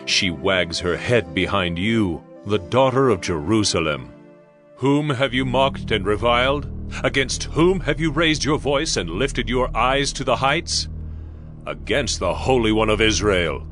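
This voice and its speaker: A man's voice: on the low side, a bit bright. A man playing a stern, authoritative prophet solemnly proclaiming divine judgment in a dramatized scripture reading. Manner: solemn, declamatory.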